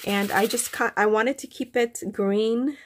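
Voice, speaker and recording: Slow-talking woman with a nasal, stuffy voice. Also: fairly high pitch, fairly even intonation, a little hiss or hum. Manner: rambling, many ums, warm and chatty to viewers. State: stuffy from allergies. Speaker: craft vlogger. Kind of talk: casual show-and-tell chat.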